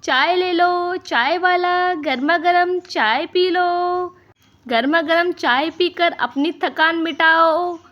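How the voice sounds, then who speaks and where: Woman as a high-pitched, wheedling male tea seller. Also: slow, highs cut off. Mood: eager hawking. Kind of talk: cartoon story character.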